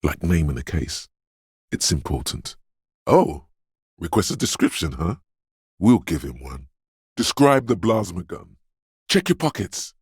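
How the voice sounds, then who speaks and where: A man's voice: very animated, measured pace, long pauses, no room sound, studio quality, exaggerated voice. A man voicing a brooding, eerie inner voice of intuition in a video game, speaking intimately and poetically in a mournful, ominous, dreamlike way. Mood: urgent, wry. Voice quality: very deep, husky, gravelly whispery rumble. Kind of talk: video game voice acting, literary second-person narration.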